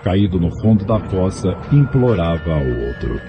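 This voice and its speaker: A man's voice: low-pitched, big pitch swings, normal speed, dull audio, noisy background. A man narrating a radio drama from a script in a dramatic storytelling style.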